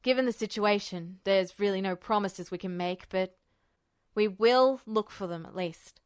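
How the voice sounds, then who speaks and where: A woman's voice: medium pitch, normal speed, clear, resonant tone, dry recording, studio quality, voice pushed down, lightly acted. A woman voicing an earnest, apologetic military man in acted audiobook dialogue. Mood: regretful, earnest.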